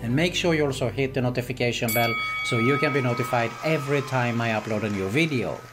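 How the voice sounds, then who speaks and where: A man presents an online how-to in a medium-pitched voice. He has a salesy, repetitive way of walking through each step. Music plays clearly behind him. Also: fast. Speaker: video host. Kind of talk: scripted-sounding online tutorial narration. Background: background music.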